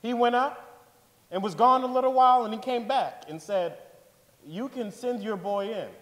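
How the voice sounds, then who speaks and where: A man's voice: very high voice, moderate pace, fairly bright tone. A man playing an earnest father giving testimony in a staged 1890s courtroom drama reading. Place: large theater stage, indoors. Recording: hall reverb from a theater stage.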